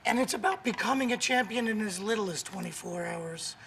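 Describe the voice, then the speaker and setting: A hoarse man with laryngitis, a guest author and coach talking in an upbeat, emphatic, motivational way on a morning show. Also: high voice, brisk, sharp tone, slight background noise.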